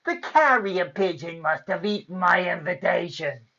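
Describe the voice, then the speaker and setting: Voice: mid-range voice, expressive, measured pace, creaky, pushed and bright, muffled recording, full character voice. A storyteller voicing a nasty wicked fairy woman in a storybook tale, snarling with false sweetness and barking out her words. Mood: snarling sweetly. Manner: snarls sweetly, barks curses, drawn out.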